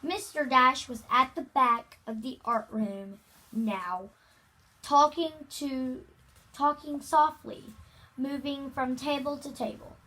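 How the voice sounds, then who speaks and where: A woman's voice: high voice, fairly even intonation, slow, sharp tone, rough recording. A female amateur reader reads a children's novel aloud with casual asides, stumbling over words and repeating them. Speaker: amateur reader hosting a read-aloud video.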